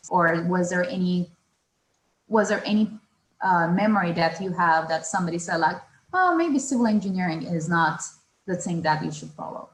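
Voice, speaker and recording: Woman from Turkey, moderator, lowish voice, roomy echo, faint hiss. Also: expressive, moderate pace. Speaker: panel moderator. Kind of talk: online panel discussion.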